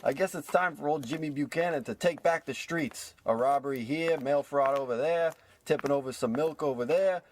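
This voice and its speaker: A man's voice: on the high side, moderate pace, few pauses, a little hiss or hum, lightly acted. A man playing a hapless small-time crook, a self-pitying tough guy, with melodramatic wisecracks in a parody of old radio drama. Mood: brooding.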